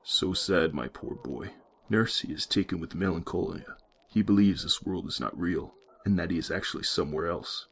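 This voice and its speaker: A man voicing an older male asylum doctor who speaks in a slow, consoling, condescending way. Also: low voice, creaky, a little hiss or hum, pitched below the performer's own voice. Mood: patronizing.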